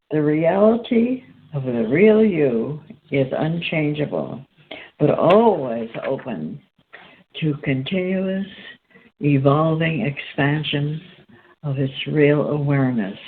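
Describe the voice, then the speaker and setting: A woman host reading aloud from a spiritual text in a halting, deliberate way. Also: deep voice. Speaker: host and reader. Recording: tinny phone-line sound, background noise.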